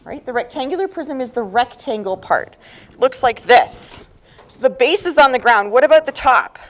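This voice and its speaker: A woman's voice: high voice, animated, normal speed. A woman teaching a math class, explaining step by step and often checking understanding with questions.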